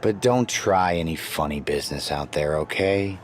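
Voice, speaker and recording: A man's voice: a bass voice, normal speed, a little hiss or hum. A man playing a cocky pro wrestler in a video game, delivering a smug, mock-reassuring promo. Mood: mocking, menacing.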